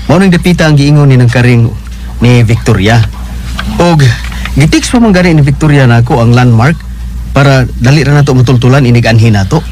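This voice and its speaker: An actor plays a respectful young Bisaya man explaining things. His voice is mid-pitched, radio drama style, with faint noise behind. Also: lively intonation, fairly quick, darkish tone, lightly acted, low-quality recording. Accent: Cebuano Bisaya.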